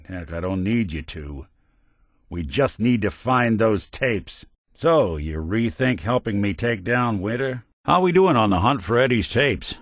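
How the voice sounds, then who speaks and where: An actor voicing a weary, wry android detective for a video game, in a hard-boiled noir manner with old-fashioned slang and dry sarcasm. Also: male voice, lowish voice, animated, a little slow, dry recording. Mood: grim, determined. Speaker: companion character in a video game.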